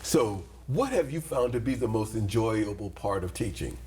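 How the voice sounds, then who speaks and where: A man's voice: fairly low pitch, expressive, moderate pace, darkish tone, a little hiss or hum. A man hosting a school interview show, upbeat and complimentary as he introduces guests and asks questions. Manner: upbeat, complimentary, repeats "awesome". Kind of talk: studio interview.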